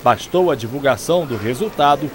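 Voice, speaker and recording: A man reading a scripted local news report in a formal, measured voice-over. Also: mid-range voice, lively intonation, noisy background.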